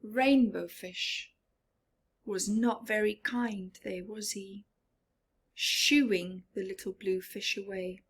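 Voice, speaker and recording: A woman reading a story aloud to children as a teacher would, expressive and deliberate. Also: mid-range voice, breathy edge. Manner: expressive, deliberate, questioning the listeners.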